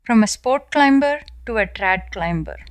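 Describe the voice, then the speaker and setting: A woman's voice: mid-range voice, expressive, unhurried, no echo. Indian woman narrating a personal essay. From South India. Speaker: podcast essayist. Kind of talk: first-person scripted narration.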